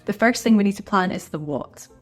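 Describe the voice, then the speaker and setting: A woman's voice: medium pitch, fairly quick, soft background music. A woman artist chatting to camera in an encouraging, friendly way, sounding a little stuffed up from a cold. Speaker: artist and video creator. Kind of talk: casual talk to camera.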